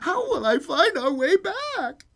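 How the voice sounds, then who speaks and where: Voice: wildly swooping pitch, unhurried, a bit bright, exaggerated voice. A woman voicing a polite, timid little girl with a plaintive voice, in a storybook tale. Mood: anxious, polite. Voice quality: high, plaintive. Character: frightened, polite little girl longing for home.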